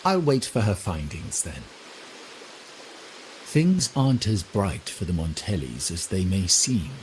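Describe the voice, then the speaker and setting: A man's voice: sits low, sing-song, measured pace, a little hiss or hum, poor audio. A man voicing a courtly, calculating heir of a powerful family in a video game, speaking formally and with deliberation. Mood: guarded, firm. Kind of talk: scripted game dialogue.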